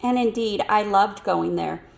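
Female audiobook reader for children's fiction, measured storytelling delivery, noticeable vocal fry. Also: mid-range voice. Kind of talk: scripted narration of a children's novel.

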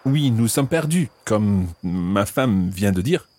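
A man's voice: fairly low pitch, lively intonation, a little slow, darkish tone. A man playing a stubborn but courteous husband on holiday in a radio play for learners. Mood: courteous, animated. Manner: self-assured, courteous.

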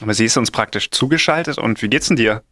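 Male radio host, curious questioner, middle pitch, dry studio sound. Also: quick pace, sharp tone, dry recording. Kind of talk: casual podcast chat.

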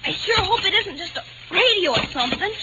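A woman's voice: extremely high pitch, animated, brisk. A woman playing a brash but easily scared young boy in an old-time radio drama. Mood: eager. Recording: muffled, noisy old radio recording.

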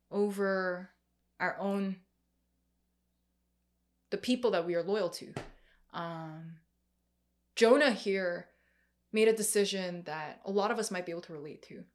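Woman preaching in a casual, halting way. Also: medium pitch. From Korean family background. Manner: conversational, many fillers and restarts. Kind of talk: sermon.

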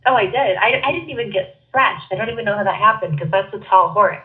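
A woman's voice: medium pitch, fast. A young woman guest with a head cold and a nasal voice, chatting bubbly and laughing easily over a phone call. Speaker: podcast guest, wrestler. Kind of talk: casual chat. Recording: tinny phone line.

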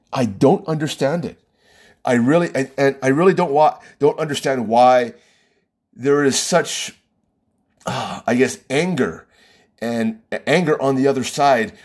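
A man's voice: mid-range voice, breathy edge. A man with an American accent hosting a solo podcast, talking emphatically and rhetorically, often tossing in "right?". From United States. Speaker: podcast host. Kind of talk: opinionated solo podcast monologue.

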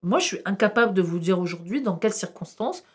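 A middle-aged woman historian talks with passion in a podcast interview. She asks rhetorical questions and pauses often with hesitations. Her voice sits at an ordinary middle pitch. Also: animated. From France. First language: Arabic. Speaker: historian guest.

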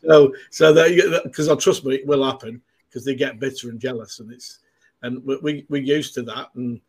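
A man in his sixties with a broad Mancunian accent and a husky, breathy edge to his voice. He rambles through casual chat, stopping and restarting often with plenty of ums. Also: mid-range voice, steady tone, moderate pace. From Manchester, England. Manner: rambling, with many fillers and restarts. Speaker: guest.

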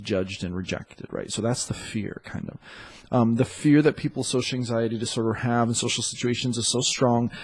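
A man hosting a dating podcast in a loose, chatty way, sounding congested and often pausing on fillers. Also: medium pitch, a little mellow, slightly rough. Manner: loose and chatty, with many fillers and self-corrections. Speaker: podcast host and dating coach. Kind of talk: casual solo podcast talk.

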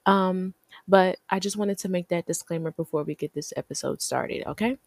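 A young woman hosting her own podcast in casual, playful chat, full of slang, her voice a little deep lately. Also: moderate pace, vocal fry, a little mellow, dry recording. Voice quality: voice sounding a bit deep lately. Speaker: podcast host.

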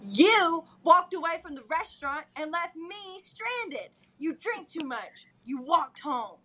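A woman's voice: very high-pitched, lively intonation, takes it slowly, a bit bright, heavily acted. A woman reading the part of a whiny, aggrieved man scolding his fiancée in a dramatic reading of a reality TV fight. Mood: accusing. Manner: plaintive, scolding.

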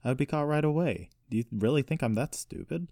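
A man's voice: mid-range voice, expressive, fast, dark tone, no room sound, clean studio sound, pitched above the performer's own voice, natural voice. A man playing an indignant, wounded young man in an audiobook story, incredulous and defensive. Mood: hurt, indignant.

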